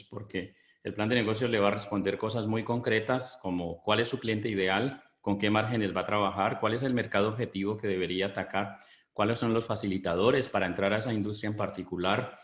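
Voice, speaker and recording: A middle-aged Colombian man with a Colombian Spanish accent, giving a business webinar in a measured, explanatory way, often pausing on fillers. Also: lowish voice, roomy sound. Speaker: business consultant presenting a webinar. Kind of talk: webinar presentation.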